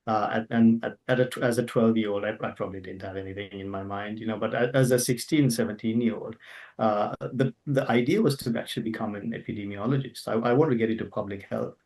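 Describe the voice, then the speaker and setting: A middle-aged man with a low, gravelly voice, talking a little away from his microphone. He answers an interviewer's questions, stopping often to restart and fill gaps. His delivery sounds like a remote interview guest. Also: fairly even intonation, fast talker, darkish tone, distant mic. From Zambia. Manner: hesitant, many restarts and fillers.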